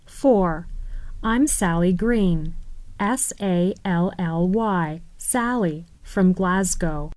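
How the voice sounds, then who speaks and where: A woman reading scripted English textbook dialogues deliberately, spelling out words letter by letter. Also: fairly low pitch, darkish tone, no echo, poor audio. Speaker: voice actor reading English listening exercises.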